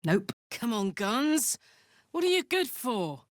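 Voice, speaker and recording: A woman voicing a brash, warm-hearted and rowdy female adventurer in a video game, with blunt, informal and expressive delivery. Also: fairly high pitch, takes it slowly, no room sound, studio quality. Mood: casual, teasing.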